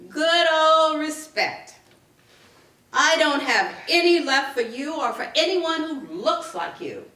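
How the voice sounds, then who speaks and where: Woman acting grieving defiant daughter, higher voice, slow biting delivery, sarcastic, staged reading, echoey room. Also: sing-song, pushed and bright, exaggerated voice. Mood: mocking, sarcastic. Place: indoor live reading at a public library. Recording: echoey room, picked up from a distance.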